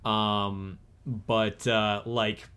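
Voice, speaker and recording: A man's voice: on the low side, flat delivery, very slow, sharp tone, smooth. A young man in his twenties co-hosting a casual chat, rambling with many fillers and restarts. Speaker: co-host.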